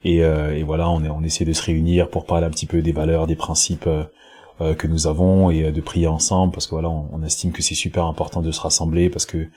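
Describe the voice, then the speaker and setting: A man's voice: very deep voice, flat delivery, quick pace, warm tone, husky. A man from Congo, a pro basketball player, talking casually as a podcast guest, hesitant with many fillers and repeats, recorded on his own home podcast mic. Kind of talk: casual interview talk. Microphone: home podcast mic with headset.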